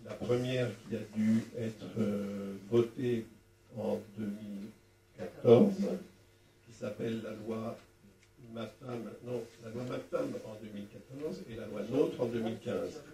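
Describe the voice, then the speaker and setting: An older man explaining things at a public debate in a halting, didactic way, speaking into a handheld microphone. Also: fairly low pitch, fairly even intonation, mellow. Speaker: former local councillor, panel speaker. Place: small wood-walled meeting room at a public event. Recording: roomy, distant sound with faint noise.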